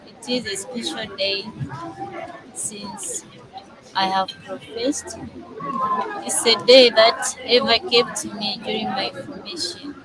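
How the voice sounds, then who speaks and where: A young Zambian woman, a newly professed religious sister, speaking softly and slowly in an outdoor interview. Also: a little high, very animated, poor audio. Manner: slow, soft-spoken, measured. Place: outdoors at a celebration. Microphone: masked, speaking softly. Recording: outdoor live stream with loud crowd behind.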